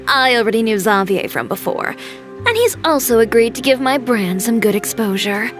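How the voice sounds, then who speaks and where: A woman playing a guarded, confident young fashion designer in a video game, speaking in a brisk, matter-of-fact way. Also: fairly high pitch, sing-song, faint music behind. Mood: composed.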